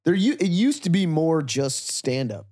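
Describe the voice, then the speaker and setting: Hesitant comedian co-host chiming in. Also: male voice, a little high, very animated, moderate pace, darkish tone. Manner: hesitant, restarts words, many short agreements. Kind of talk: casual podcast chat.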